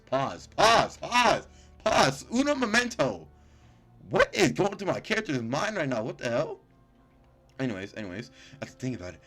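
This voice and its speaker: A man with a very low voice hosts a casual gaming video. He reads on-screen story text aloud, tripping over words and cutting in with blunt, incredulous, sweary asides. Faint game music plays behind him. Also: a bit bright. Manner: stumbles over read-out words, breaks off into profane, incredulous reactions. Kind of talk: casual let's play, reading game text aloud with commentary.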